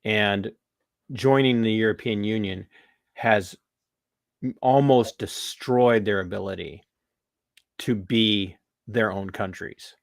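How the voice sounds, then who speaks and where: An American man hosting a podcast, talking politics in a rambling, animated way with restarts and laughs. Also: fairly low pitch, takes it slowly, creaky, long pauses, no room sound, clean studio sound. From United States. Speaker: podcast host. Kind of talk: casual political talk.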